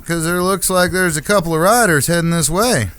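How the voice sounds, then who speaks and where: A man's voice: a little high, a little fast, a bit bright, lightly acted. A man playing a folksy, wisecracking cowboy sidekick with a teasing Old West drawl in a Western audio drama. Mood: casual.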